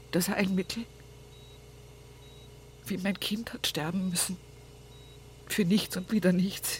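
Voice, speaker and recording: An actress playing a grieving, devout old country mother in a radio play monologue, plain-spoken and often hushed. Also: fairly low pitch, slight background noise. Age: older. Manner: slow, hushed, often whispering, folksy.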